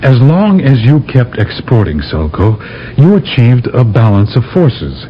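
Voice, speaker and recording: Bold, animated astronaut envoy. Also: male voice, medium pitch, measured pace. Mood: urgent, explaining. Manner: insistent, theatrical, emphatic. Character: bold, persuasive male astronaut envoy from Earth. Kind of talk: 1970s radio drama acting. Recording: dull, noisy old radio broadcast recording.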